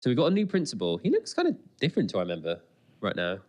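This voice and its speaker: A man with a mid-pitched, very animated voice. He talks casually and excitably, as a co-host reacting to a TV episode. His delivery rambles and leans into jokes. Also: racing. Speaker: podcast co-host. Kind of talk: casual chat, TV episode commentary.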